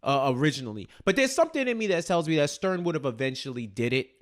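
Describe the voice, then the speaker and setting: A man's voice: on the high side, sing-song, fast talker. A man co-hosting a casual TV recap discussion, speaking in a colloquial, slangy way and repeating phrases for stress. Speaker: podcast co-host.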